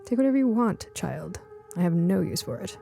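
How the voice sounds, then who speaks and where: An easygoing old woman from a storybook, as a woman narrator puts her on. She talks in an offhand, indulgent way. Her voice is mellow and crackles with fry. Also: lowish voice, expressive, brisk, faint music behind.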